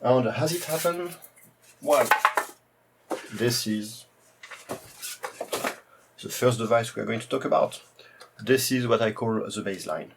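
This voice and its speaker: A man with a French accent hosting a retro computing video, talking casually and hesitantly with many pauses and fillers. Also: on the low side, gravelly, husky. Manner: hesitant, heavy use of "uh" fillers. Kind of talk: casual show-and-tell presentation.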